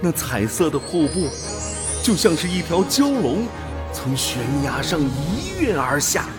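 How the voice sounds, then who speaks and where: An actor playing an awestruck space explorer in a radio drama, halting and full of wonder. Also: male voice, on the high side, huge pitch swings, music in the background. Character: awestruck space explorer newly transformed into an alien body.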